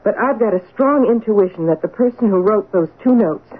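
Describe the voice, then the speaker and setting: An actress playing an earnest, insistent amateur handwriting sleuth in a radio mystery drama, with a husky voice and deliberate delivery. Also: female voice, medium pitch, expressive. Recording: tinny old radio broadcast.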